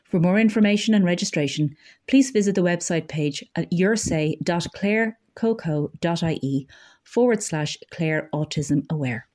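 A woman's voice: on the low side, moderate pace, darkish tone. A woman reading scripted local news as a community radio newsreader.

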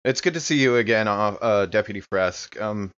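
A man's voice: lowish voice, normal speed, a bit bright, dry recording. A man playing a tabletop game, improvising casually in and out of character. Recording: slightly dull, lo-fi microphone sound.

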